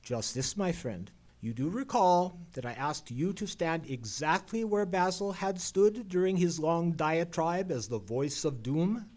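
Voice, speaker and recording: Gentleman detective, radio drama, higher-pitched, very animated intonation, formal, knowing, dramatic pauses. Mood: knowing, deliberate. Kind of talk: old-time radio play acting.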